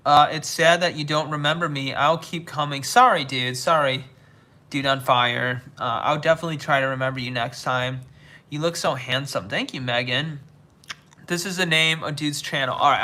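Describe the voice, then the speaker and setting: A young man from the United States hosts a casual livestream, reading out his chat and answering each viewer. His voice sits a little high and sounds bright, and he stops and restarts his sentences often. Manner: reads viewer comments aloud and replies, with halting restarts. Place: living somewhere in Asia.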